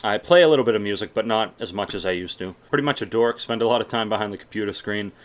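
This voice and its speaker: A man's voice: lowish voice, fast. Laid-back young man with a faint Boston lilt. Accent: mild Boston mixed with general American. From Massachusetts. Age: young adult. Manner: laid-back, swears often, chatty. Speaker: YouTuber answering viewer questions. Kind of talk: casual Q&A vlog.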